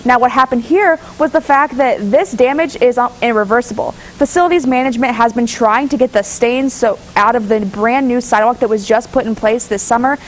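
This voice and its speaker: A woman with a fairly high voice reports news in a studio. Her delivery is measured and formal, like a student reporter presenting a prepared story.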